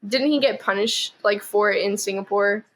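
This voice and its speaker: A woman's voice: mid-range voice, moderate pace, slight background noise. A woman chatting casually as a podcast guest, rambling with lots of "like" and "um". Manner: rambling, heavy use of "like" and "um". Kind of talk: casual chat.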